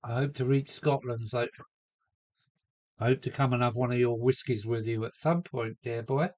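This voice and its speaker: A man with a cold chatting casually on a home live stream from his loft, answering viewers and trying out halting Spanish phrases. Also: mid-range voice, steady tone, a little mellow. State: has a cold. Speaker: model railway live streamer.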